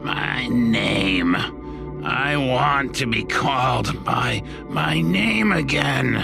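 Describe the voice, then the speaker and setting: A pleading pig sidekick, super gravelly, slow talker, music behind. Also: male voice, medium pitch, animated, heavily acted. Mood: earnest, pleading. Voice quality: gravelly, creaky. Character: gruff pig henchman, pleading and earnest. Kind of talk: audio drama acting.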